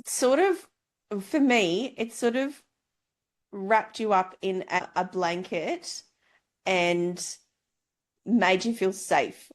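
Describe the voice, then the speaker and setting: Slow-talking woman in casual book chat. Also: medium pitch. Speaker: book club podcast co-host.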